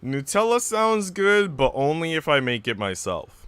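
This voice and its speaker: A man livestreaming a visual novel, moving between casual, chatty musing and expressive story narration. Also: fairly high pitch, normal speed, smooth, no echo. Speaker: streamer reading a visual novel aloud. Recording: music playing behind the voice. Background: background music.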